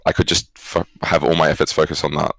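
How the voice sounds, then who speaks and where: A man's voice: a bass voice, little pitch movement, fast, vocal fry, a bit bright, no room sound, rough recording. A young man with an Australian accent, answering interview questions casually and reflectively, rephrasing as he goes. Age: young adult. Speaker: esports coach, former pro player, guest.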